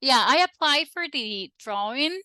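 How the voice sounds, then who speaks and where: Bright-voiced woman, chatty. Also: sits high, measured pace, no room sound. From Costa Rica. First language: Spanish. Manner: chatty and animated, frequent restarts and laughs. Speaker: guest. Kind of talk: casual interview chat.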